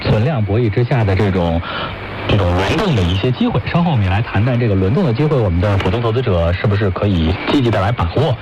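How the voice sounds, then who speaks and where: A man's voice: lowish voice, animated, fast talker. A man hosting a financial radio programme, questioning guests about the market in broadcast style. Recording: muffled, noisy shortwave radio. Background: radio static.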